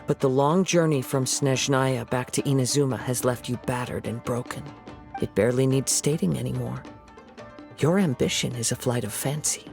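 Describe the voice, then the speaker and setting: An actor playing a calm young male wandering samurai in a game, measured and poetic. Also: mid-range voice, creaky, soft background music, natural voice. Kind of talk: game voice acting.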